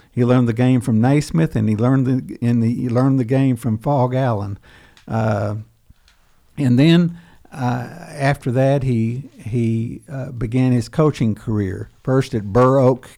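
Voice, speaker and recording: Older man with a dark, gravelly voice. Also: medium pitch. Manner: unhurried, storytelling, with many pauses and fillers. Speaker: history professor, author and guest. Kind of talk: storytelling interview answers.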